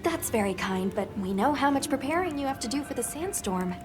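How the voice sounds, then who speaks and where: Young female guild handler, courteous, composed, higher voice, video game cutscene, loud music behind. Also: lively intonation, fairly quick. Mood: polite, warm. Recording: music playing loudly behind.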